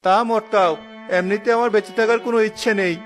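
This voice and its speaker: A man voicing a gentle, wronged young orphan nephew who speaks earnestly, in a cartoon. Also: high-pitched, fairly even intonation, fast talker, faint music behind, close to an everyday voice. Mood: despairing. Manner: earnest, hurt. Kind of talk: cartoon voice acting.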